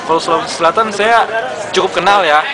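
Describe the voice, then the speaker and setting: Casual young man with a slightly high voice. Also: quick pace, crowd noise, poor audio. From Balikpapan. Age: young adult. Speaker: student interviewee.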